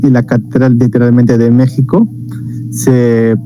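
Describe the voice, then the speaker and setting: A man with a mellow, warm voice. He speaks thoughtfully and likes to explain cultural curiosities in casual conversation. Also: mid-range voice, little pitch movement, measured pace, highs cut off, a little hiss or hum. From Peru. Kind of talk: casual video chat.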